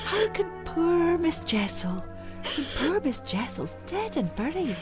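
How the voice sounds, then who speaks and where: A kindly, fretful housekeeper in a gothic radio play. Her voice leaps dramatically up and down while her pace stays slow. Music plays clearly behind her. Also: extremely high pitch, very animated, exaggerated voice. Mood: distressed. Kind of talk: audio drama acting, period literary dialogue.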